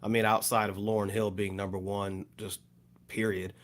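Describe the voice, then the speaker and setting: A man on a hip hop podcast panel, talking in a measured way and thinking aloud. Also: on the low side, little pitch movement.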